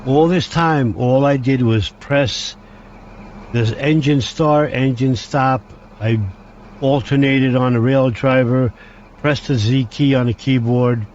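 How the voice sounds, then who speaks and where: Man at mid pitch, halting train-sim commentary, dull lo-fi track, faint engine hum. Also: fairly even intonation, darkish tone. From Hungary. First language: Hungarian. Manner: halting, with frequent restarts, talks through each control step. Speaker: train simulator player. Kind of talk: gameplay commentary.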